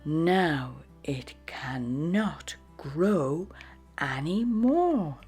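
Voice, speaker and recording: A woman narrating a children's storybook in a dramatic, expressive read-aloud style. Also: sits low, drawn-out words, faint music behind. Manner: dramatic, with hushed phrases and sudden exclamations.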